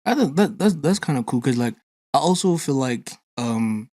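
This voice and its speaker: A man's voice: medium pitch, expressive, moderate pace, a little mellow, dry recording. A man interviewing a podcast host in a casual, easygoing way, with many "you know"s and "like"s.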